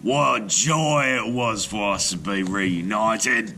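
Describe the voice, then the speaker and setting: A man reading scripted narration like a teacher, measured and explanatory, for young listeners. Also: fairly low pitch, pushed and bright, a little hiss or hum. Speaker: storyteller and teacher. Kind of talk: scripted read-aloud narration.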